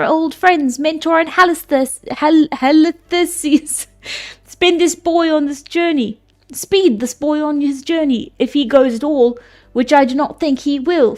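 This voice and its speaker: A woman's voice: sits high, voice pushed up, lightly acted. Woman putting on a scornful suitor's accent. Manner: mocking put-on accent. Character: scornful arrogant male suitor.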